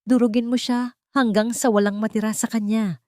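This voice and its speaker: A woman's voice: fairly high pitch, fairly quick, no echo, studio quality, pitched above the performer's own voice, lightly acted. Woman voicing a cold, commanding rich matriarch. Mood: menacing. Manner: calm but cutting, imperious.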